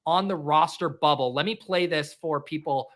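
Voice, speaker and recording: A man in his thirties with a nasal voice, hosting a casual chat and trading goofy deadpan jokes. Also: a little high, normal speed. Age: 30s. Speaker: host.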